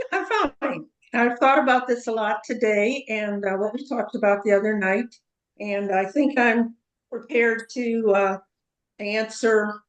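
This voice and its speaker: An older woman answers interview questions over a video call. She speaks in a measured way and pauses on small fillers. Her voice sits at a middle pitch. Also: rough recording. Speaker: guest. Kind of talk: casual interview.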